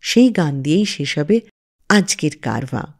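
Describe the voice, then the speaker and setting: Expressive woman radio storyteller. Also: deep voice, unhurried, gravelly. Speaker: radio show host. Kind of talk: scripted storytelling narration for a music radio show.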